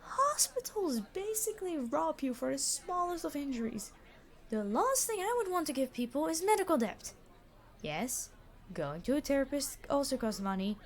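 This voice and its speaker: A woman's voice: high voice, big pitch swings, normal speed. A woman playing a reluctantly decent supervillain in an audio roleplay, speaking in a dry, formal, bossy, matter-of-fact way. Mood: dry, reassuring. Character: famous immortal supervillain, exasperated, reluctantly decent. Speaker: robbery leader.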